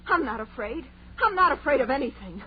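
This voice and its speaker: A woman's voice: high voice, lively intonation, fairly quick. An actress playing a defiant, jittery young woman in an old radio horror play, speaking in an emphatic, dramatic way. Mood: agitated, defiant. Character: defiant, jittery young woman insisting she fears nothing. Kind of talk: 1940s radio horror acting. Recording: muffled old radio recording, hiss and hum.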